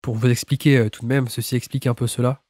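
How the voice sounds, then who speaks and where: Enrhumed French podcast host, dry sound. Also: male voice, medium pitch, little pitch movement, quick pace, a little mellow, dry recording. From France. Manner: chatty, many restarts and little laughs. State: has a cold. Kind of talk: solo podcast introduction.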